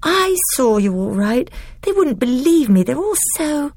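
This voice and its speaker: A woman voicing an eager, loving young girl who talks high and breathlessly, often pleading. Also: big pitch swings, measured pace, a little mellow, slightly rough, no echo, full character voice.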